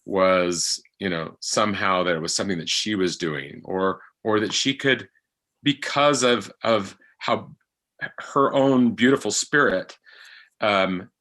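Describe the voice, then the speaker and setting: A man talking conversationally as a panel guest, breaking his sentences into short phrases with pauses. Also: fairly low pitch, a bit bright. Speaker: actor guest on a discussion panel. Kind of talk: conversational discussion.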